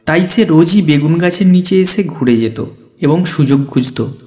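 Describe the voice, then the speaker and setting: Casting: male storyteller for a children's cartoon, fairly high voice, scripted narration over faint background music. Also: moderate pace, warm tone.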